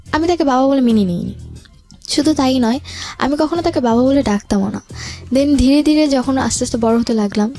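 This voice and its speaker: A woman's voice: on the high side, warm tone. A young woman with a Bangladeshi accent telling her life story in an interview, hesitantly, mixing in English words. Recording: music bed behind the voice. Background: music.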